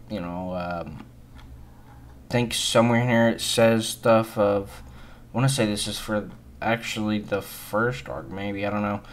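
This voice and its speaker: A man's voice: lowish voice, fairly bright tone. A man of twenty-one giving casual, unscripted gaming commentary in a hesitant, rambling and resigned manner. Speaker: gaming video creator.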